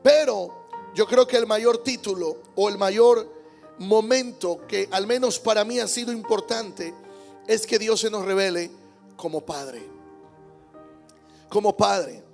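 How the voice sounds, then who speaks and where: Pastor guy preaching, high voice with a gravelly edge, soft music behind. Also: slow, pauses often. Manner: emphatic preaching with repetition and amens. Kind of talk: Sunday sermon. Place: church service.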